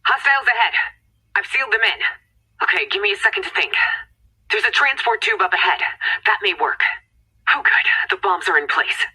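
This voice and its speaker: A woman's voice: medium pitch, expressive, normal speed, pauses often, bright, forceful tone, husky, heavily acted, rough recording. A woman playing an urgent, commanding scientist in a video game, giving terse, tense mission directions.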